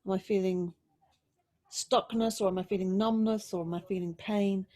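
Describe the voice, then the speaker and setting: A woman teaches yoga relaxation in a mid-pitched voice. She speaks in slow, mindful phrases, leaving pauses and gently coaxing the listener through each instruction. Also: fairly even intonation. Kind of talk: guided relaxation and body check-in.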